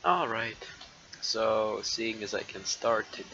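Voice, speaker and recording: A man with a fairly low, bright, forward voice streams a game on a muffled microphone with faint noise behind him. He talks loosely to his chat, swears casually and reads on-screen text aloud. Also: animated, slow pace. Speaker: live streamer. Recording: dull lo-fi mic with background noise.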